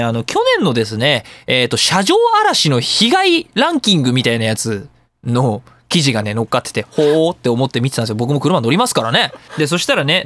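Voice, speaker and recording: A male radio host talks quickly in a high voice for a man, riffing casually and theatrically. The recording is dry and close, with his animated emphasis carrying the monologue. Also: no room sound.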